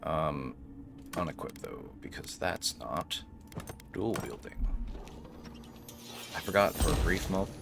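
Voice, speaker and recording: A man's voice: extremely low pitch, animated, slow pace, gravelly, pauses often, noisy background. A man giving casual, sardonic, rambling gameplay commentary, with some swearing. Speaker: gaming video host.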